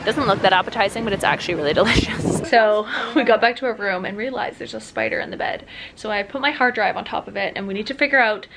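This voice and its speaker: A woman's voice: mid-range voice, quick pace, creaky, fairly bright tone, slight background noise, rough recording. A young adult woman talking casually to camera as a travel vlogger, chatty and upbeat with lots of "like" and "um". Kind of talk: casual vlog talk to camera.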